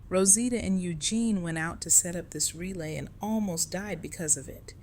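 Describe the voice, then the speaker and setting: A woman's voice: lowish voice, normal speed, a little mellow, a little hiss or hum, close to an everyday voice. A woman playing a stern, guarded and unyielding security chief in an audio drama. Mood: angry, accusing. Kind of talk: full-cast audio drama acting.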